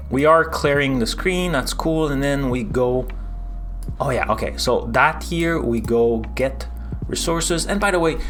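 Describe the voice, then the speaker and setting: A man's voice: medium pitch, a little hiss or hum. A man with a French accent, casually chatting through a live coding stream, rambling with lots of fillers and restarts.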